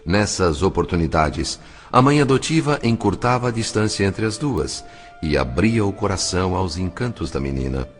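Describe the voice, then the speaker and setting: A man narrates a dramatic story from a script. His voice is very low, with a Brazilian accent, and music plays behind it. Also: animated, normal speed. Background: background music.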